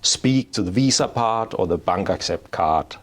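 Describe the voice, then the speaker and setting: A man's voice: low-pitched, expressive, normal speed. A middle-aged man from Denmark, a payments executive, explaining his field in an interview at his office, often restarting his phrases. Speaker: payments strategy executive, guest. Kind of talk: interview answers. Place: office in Oslo.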